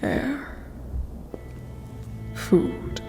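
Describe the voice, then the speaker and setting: A woman voicing an ancient vampire queen in a fantasy audio roleplay, regal and threatening, often dropping to a whisper. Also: drawn-out words. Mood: menacing, haughty. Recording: long hall reverb, music underneath. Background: clear background music.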